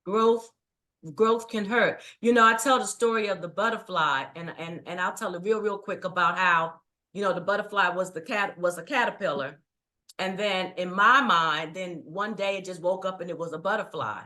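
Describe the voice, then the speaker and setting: A woman's voice: fairly low pitch, normal speed, sharp tone. A middle-aged woman guest telling a personal story in a reflective, earnest way. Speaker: guest, writing coach. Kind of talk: casual interview chat.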